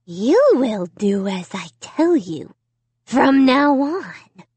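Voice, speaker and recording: A woman voicing a cruel male ventriloquist dummy in a harsh, cold, whispery rasp, speaking slowly and menacingly in an audiobook. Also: on the high side, big pitch swings, no room sound, pitched above the performer's own voice, exaggerated voice. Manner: slow, drawn-out menacing. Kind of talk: audiobook reading.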